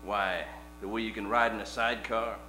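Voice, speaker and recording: A man's voice: lowish voice, fairly even intonation, brisk, lightly acted. Man playing wry detective, very echoey hall. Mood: wry fondness. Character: wry, dense hard-boiled private detective. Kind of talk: comic live radio play. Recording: echoey hall with music behind.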